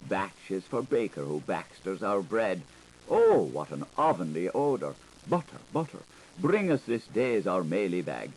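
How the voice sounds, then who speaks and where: Deep-voiced man reading literature with theatrical flair. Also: very animated, measured pace, darkish tone, breathy edge, poor audio. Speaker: audiobook narrator. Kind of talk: literary audiobook reading, dramatic scripted narration. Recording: hissy 1980s audiobook tape.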